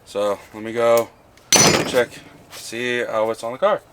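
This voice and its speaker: A man's voice: mid-range voice, fairly even intonation, unhurried, very noisy. A man with a nasal voice from a cold, chatting casually about working on his car in a home garage. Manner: casual, mutters while working, swears. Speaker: car project vlogger.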